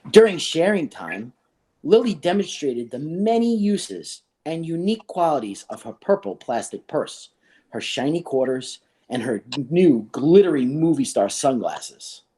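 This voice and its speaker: A school staff member reading a children's book aloud and chatting about it, in an expressive way. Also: mid-range voice, unhurried, husky. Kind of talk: read-aloud of a children's book and casual discussion.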